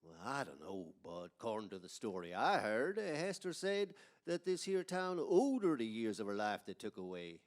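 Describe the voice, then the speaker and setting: A man playing a folksy local telling a witch legend in old-time radio horror, halting and ominous. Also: on the low side, sing-song. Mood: ominous, hesitant.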